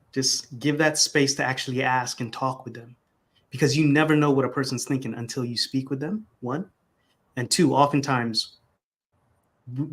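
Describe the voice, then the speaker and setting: A man speaks as a guest on a casual podcast. His voice sits at an ordinary middle pitch, and his talk is thoughtful and loosely built, full of restarts as he works out his thoughts. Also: fairly bright tone. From America. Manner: reflective, conversational, frequent restarts.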